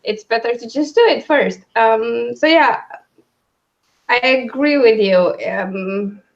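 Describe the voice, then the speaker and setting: A woman with a fairly high voice talks casually as a book discussion host. She works out her opinions aloud in broken, halting phrases. The recording sounds slightly dull and lo-fi.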